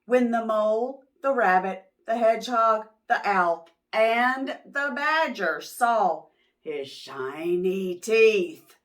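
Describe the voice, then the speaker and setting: A woman reading a picture book aloud to young children, expressively, pausing to ask them questions. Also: on the high side. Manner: expressive, drawn-out words, teacherly prompting. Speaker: children's read-aloud storyteller.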